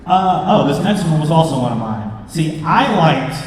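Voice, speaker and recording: A man's voice: on the high side, animated, moderate pace. A man hosting a fan panel about films, chatting informally and slangily in front of an audience. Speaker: panel host. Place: large convention panel room with audience. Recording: very echoey hall, distant mic, crowd noise.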